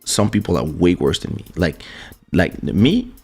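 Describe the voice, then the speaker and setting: A man's voice: sits low, very animated, a little slow, vocal fry, a little mellow, breathy edge, soft background music. A man with a French accent hosting a casual livestream, talking loosely with fillers and restarts while greeting his viewers. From France. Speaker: livestream host and musician. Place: home streaming setup in Portugal. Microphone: studio desk microphone.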